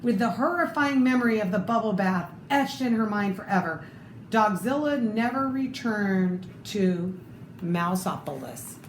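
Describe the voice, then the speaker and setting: A woman's voice: mid-range voice, expressive, a little slow. A woman reading a children's storybook aloud expressively, adding little asides to the listener. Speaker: storybook reader. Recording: roomy echo, clear background noise.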